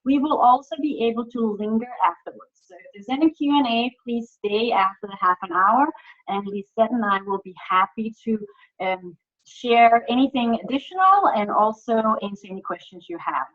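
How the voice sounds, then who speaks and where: A woman's voice: a little high, moderate pace. A woman from Denmark, a workshop facilitator presenting a webinar over a video call, chatty and asking the audience questions. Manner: chatty, restarts often, lively questions to the audience. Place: home. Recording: slightly muffled video call audio.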